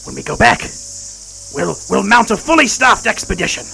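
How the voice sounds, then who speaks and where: A man playing a self-assured, excitable middle-aged doctor and scientist in an audio drama, marvelling and explaining theatrically. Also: high voice, normal speed, gravelly, noisy background, heavily acted. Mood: astonished, dramatic. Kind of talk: full-cast audio drama acting.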